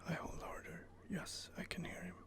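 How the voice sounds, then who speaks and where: A man's voice: moderate pace, fairly bright tone, natural voice. A man whispering the brooding inner monologue of a weary older craftsman who has lost everything. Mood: grieving, vengeful. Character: weary older male craftsman seeking vengeance. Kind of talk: ASMR in-character narration.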